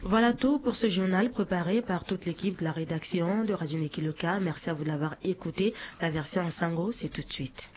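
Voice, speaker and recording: A woman anchoring a radio news bulletin in a steady, formal manner. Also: on the low side, fairly quick, few pauses. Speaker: news presenter. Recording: dull lo-fi radio audio with faint noise.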